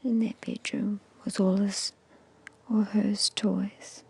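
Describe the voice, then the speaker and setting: A woman guiding an ASMR meditation in a hushed, soothing, breathy whisper with short, measured phrases. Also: mid-range voice, flat delivery. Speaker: hypnosis and meditation guide.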